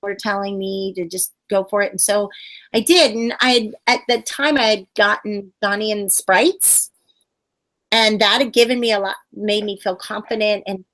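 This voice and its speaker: A middle-aged woman puppeteer telling stories in a casual interview, bubbly and animated, acting out quotes in high voices. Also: moderate pace, rough recording.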